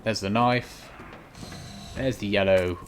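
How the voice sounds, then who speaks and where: Low-voiced man doing casual game walkthrough, noisy recording. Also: slow. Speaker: gaming video host giving a walkthrough. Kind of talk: casual instructional gameplay commentary. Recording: clearly noisy home recording.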